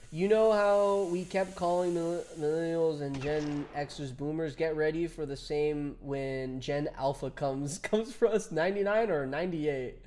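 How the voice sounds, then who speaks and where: A man's voice: fairly high pitch, darkish tone. A man in his twenties chatting casually with viewers while streaming a game, laughing often and reading chat aloud. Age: 20s.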